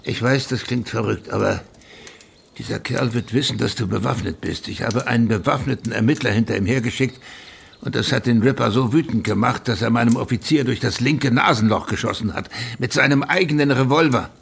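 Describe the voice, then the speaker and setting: An actor playing a blunt, exasperated police official in a German radio play, with a gravelly voice. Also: male voice, medium pitch, fairly quick, a bit bright, slight background noise. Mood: exasperated warning. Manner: blunt, urgent. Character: gravelly, exasperated male police official warning his friend.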